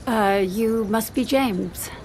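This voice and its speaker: A woman's voice: a little high, slow pace, very noisy. A woman acting in a naturalistic audio drama as a guarded, secretive businesswoman, with clipped, hesitant delivery. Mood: guarded, awkward.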